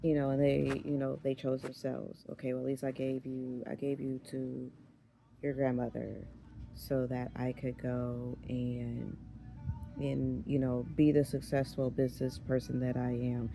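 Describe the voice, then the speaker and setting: A woman in her thirties with a hoarse, raspy voice, chatting casually in a vlog and often losing her train of thought. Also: very deep voice, little pitch movement, a little slow, dark tone, faint music behind. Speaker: vlogger. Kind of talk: casual chat.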